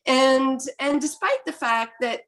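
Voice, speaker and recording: Woman, middle-aged, French first language, fairly high voice, hesitant, reflective academic. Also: lively intonation, a bit bright. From Quebec, Canada. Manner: thoughtful, halting, many restarts and fillers. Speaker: philosopher panelist. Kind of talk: academic panel discussion.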